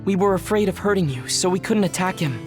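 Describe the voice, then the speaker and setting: An actress playing a serious, level-headed young psychic fighter in a video game. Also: female voice, fairly low pitch, expressive, fast, music in the background, lightly acted. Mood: serious, concerned. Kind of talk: English video game cutscene dub.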